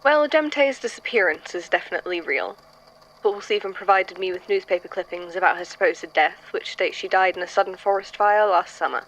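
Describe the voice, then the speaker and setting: A woman's voice: lowish voice, expressive, fairly quick, a bit bright, low-quality recording. A woman playing a skeptical, matter-of-fact archivist in a horror audio drama, speaking in a dry, detached way.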